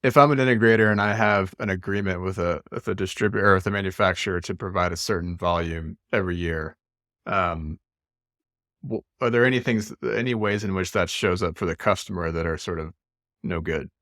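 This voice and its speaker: A man's voice: low-pitched, fairly quick, a bit bright, no room sound, studio quality. A man hosting a podcast interview, asking long, thoughtful questions with small hesitations. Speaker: podcast host.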